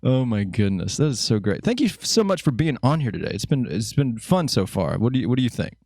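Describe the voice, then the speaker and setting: A man's voice: medium pitch, big pitch swings, brisk, darkish tone, no room sound, clean studio sound. A man hosting a casual podcast interview, chatty and joking. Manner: chatty, jokey, does playful voices. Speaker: podcast host.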